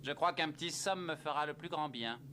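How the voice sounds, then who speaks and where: A man voicing a smug, scheming warrior villain in a French anime dub, smooth and theatrical. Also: on the high side, fairly quick, sharp tone, a little hiss or hum. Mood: slyly pleasant. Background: anime soundtrack music.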